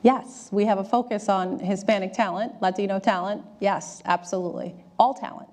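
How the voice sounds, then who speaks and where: A woman's voice: mid-range voice, fairly even intonation, moderate pace, darkish tone. A middle-aged woman answering candidly and emphatically as a guest in an on-stage interview. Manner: candid, emphatic, often adding "right?". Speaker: interview guest, corporate diversity executive. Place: auditorium stage before an audience.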